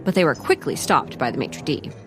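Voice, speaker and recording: A woman's voice: low-pitched, huge pitch swings, brisk, music in the background. A woman playing a pedantic, digressive historian who narrates a comic audio drama in a matter-of-fact manner. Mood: pedantic, wry.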